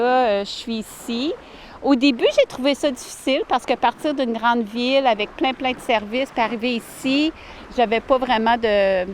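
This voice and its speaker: A woman, answering interview questions warmly and earnestly outdoors by a lake, with many fillers and restarts. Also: fairly high pitch, slight background noise. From Ottawa, Canada. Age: 40s. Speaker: village mayor, interviewee.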